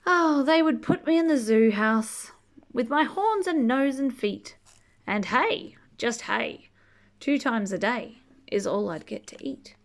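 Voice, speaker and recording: A woman reading a rhyming children's book aloud expressively, drawing out key words and chatting gently to young listeners. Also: a little high. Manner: animated, drawn-out key words, gentle questioning asides. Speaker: storybook reader reading to children.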